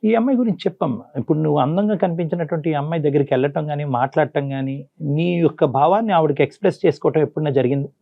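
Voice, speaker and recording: A man's voice: on the high side, rapid-fire, narrow, tinny audio. A man hosting an interview, persistently questioning and explaining to his guest. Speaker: host and counselor. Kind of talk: probing interview.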